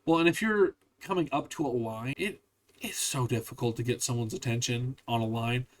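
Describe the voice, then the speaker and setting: A man hosting a casual interview, chatting in an animated, opinionated way and poking fun at himself. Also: medium pitch, normal speed. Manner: animated, opinionated, self-deprecating. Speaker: podcast host.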